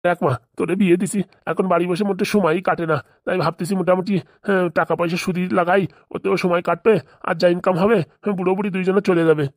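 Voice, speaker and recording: A man's voice: high-pitched, steady tone, fast, dry recording, clean studio sound, close to an everyday voice. A man voicing a scheming, boastful elderly village father-in-law in a comedy cartoon, insistent and wheedling.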